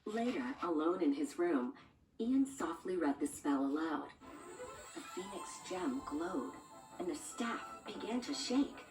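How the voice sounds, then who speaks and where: A woman's voice: fairly low pitch, expressive, measured pace. A woman reading a scripted children's storybook as a read-along narrator, with music behind her. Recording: roomy, distant sound with music underneath.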